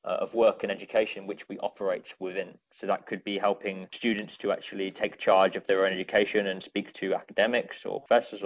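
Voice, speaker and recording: A young man with a British accent answers interview questions in measured, earnest phrases. His voice sits a little low. The audio is slightly dull and lo-fi. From United Kingdom. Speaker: podcast guest, innovation consultant.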